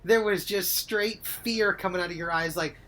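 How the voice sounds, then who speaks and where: A woman's voice: on the low side, normal speed, background noise. A woman co-hosting a podcast, chatting casually and winding up long, jokey anecdotes. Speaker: podcast co-host. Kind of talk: casual chat.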